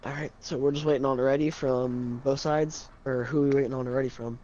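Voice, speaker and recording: A man's voice: mid-range voice, fairly even intonation, fast, warm tone. A young man casting an esports match in casual chat, rambling with lots of "like" and "uh.". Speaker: esports caster. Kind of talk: casual chat between casters. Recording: dull lo-fi mic with faint noise.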